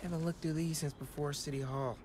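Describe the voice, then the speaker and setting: An actor playing an easygoing teenage boy hero in a video game, with relaxed, casual city-kid talk. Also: on the high side, a little fast, background noise, lightly acted. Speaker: game character.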